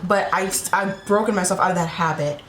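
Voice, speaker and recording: A woman's voice: on the low side, a little fast, fairly bright tone, a little hiss or hum. Tarot reader losing her voice, echoey room. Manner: rambling, repeats "right?" and "you know?" to the viewer. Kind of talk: casual spiritual tarot reading to camera. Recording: echoey room with faint ambience.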